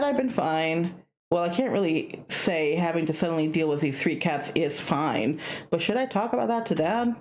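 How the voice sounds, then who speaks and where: A woman's voice: sits low, a little mellow. A woman playing a curious, polite young male student in a visual novel, speaking in a hesitant, conversational way.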